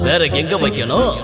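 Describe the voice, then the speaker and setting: A man voicing a teasing, mocking genie for a children's cartoon, with a drawn-out, taunting delivery and chuckles. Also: fairly high pitch. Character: teasing, mocking male genie with a hearty laugh. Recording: echoey long reverb, loud music behind.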